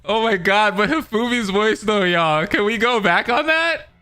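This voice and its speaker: A man reading story narration aloud and breaking into casual, excitable reactions as a commentator. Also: high-pitched, normal speed, clear, resonant tone. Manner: excitable, expressive.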